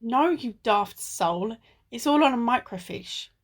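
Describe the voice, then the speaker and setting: Woman playing bossy amateur detective, higher-pitched voice, teasing and exasperated, radio play. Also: animated, a little slow. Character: bossy, exasperated woman sleuth, the sensible one. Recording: recorded at home in isolation.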